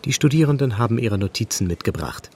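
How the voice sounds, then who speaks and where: A man reading calm, factual documentary narration. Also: mid-range voice, quick pace.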